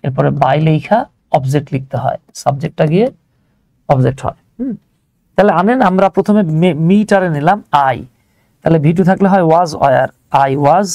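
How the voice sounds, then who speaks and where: A man's voice: on the high side, expressive, normal speed, creaky, darkish tone, no room sound. A man with a Bangladeshi accent teaching grammar informally, step by step, often putting questions to his learners as he explains. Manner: conversational, checks on learners with rhetorical questions.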